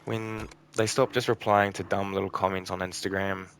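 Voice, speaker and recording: A weary man with a low, gravelly, croaky voice talks casually over gameplay. He sounds tired and burnt out, rambling and trailing off between thoughts. A faint hiss of noise sits under the recording. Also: little pitch movement, moderate pace, fairly bright tone. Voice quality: croaky, a bit raspy. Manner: subdued, rambling, frequent ums and trailing off. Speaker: gaming channel host.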